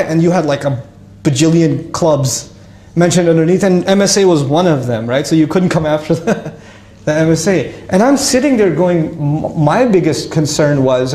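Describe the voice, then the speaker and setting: A man casually telling his life story to an audience, lively and self-mocking, with frequent restarts. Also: a little high, roomy sound.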